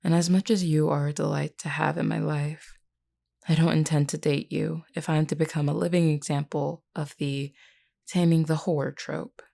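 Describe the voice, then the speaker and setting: Woman playing a low, creaky, flirty werewolf woman. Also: level tone, mellow. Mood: frank, firm. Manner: unhurried, teasing yet earnest, deliberate. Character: flirty, frank, self-assured female werewolf of a pack. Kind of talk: intimate scripted audio roleplay addressed to the listener.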